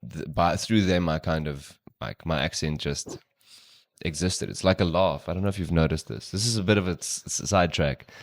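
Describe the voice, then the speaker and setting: Very deep-voiced podcast host. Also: male voice, moderate pace, no room sound, studio quality. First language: Afrikaans. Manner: laid-back, slangy, says dude and bro. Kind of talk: casual interview chat.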